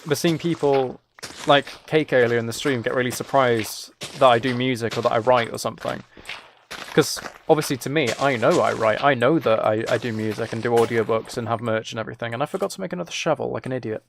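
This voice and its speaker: Creaky-voiced man chatting on a gaming stream. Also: mid-range voice, a little fast, slight background noise. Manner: rambling, self-deprecating. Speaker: live streamer. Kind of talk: casual gaming livestream chat, commentary.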